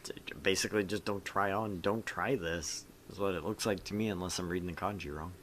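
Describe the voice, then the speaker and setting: A man's voice: low voice, fairly quick, fairly bright tone, slight background noise. A man hosting a casual visual novel playthrough, reading text aloud and joking around in a goofy way. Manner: goofy, jokey, switches between reading and banter. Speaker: gaming show host and reader.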